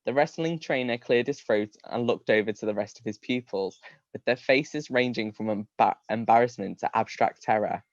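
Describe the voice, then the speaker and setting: A man's voice: medium pitch, moderate pace, no echo, muffled recording. A man giving a live comedic reading of a story, amused, often breaking into laughter and tripping over his words. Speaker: reader of comic fan fiction.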